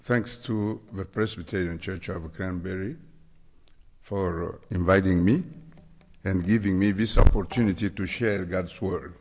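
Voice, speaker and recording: A man from the Democratic Republic of the Congo with a Congolese accent, preaching a sermon as a guest, slow and deliberate. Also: sits low, steady tone, a little mellow. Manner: slow, deliberate, spells out words. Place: church sanctuary. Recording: church hall reverb.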